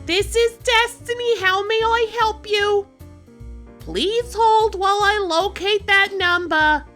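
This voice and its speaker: A woman's voice: extremely high pitch, slow pace, over music. A woman voicing a bored, brash receptionist with slangy, dismissive speech.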